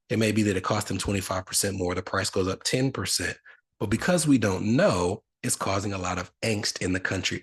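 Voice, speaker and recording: A man's voice: sits low, fairly bright tone, slightly rough. A man hosting a finance podcast, explaining things in a casual, conversational way with asides. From United States. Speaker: podcast host.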